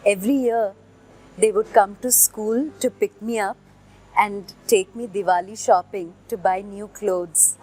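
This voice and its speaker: A woman's voice: mid-range voice, steady tone, unhurried, mellow, faint music behind, rough recording. A woman with an Indian accent telling a personal memory in a composed, storytelling way.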